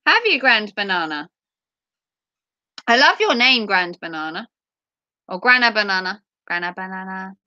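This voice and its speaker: A woman livestreamer chatting playfully with her viewers, her voice going a little hoarse after hours of talking. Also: medium pitch, big pitch swings, normal speed, pauses often, pushed and bright, dry recording, dull audio. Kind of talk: casual chat with viewers.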